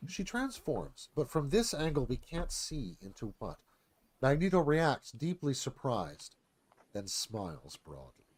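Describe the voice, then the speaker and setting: A man's voice: medium pitch, wildly swooping pitch, unhurried, breathy edge. A man narrating a screenplay, reading out scene headings and stage directions.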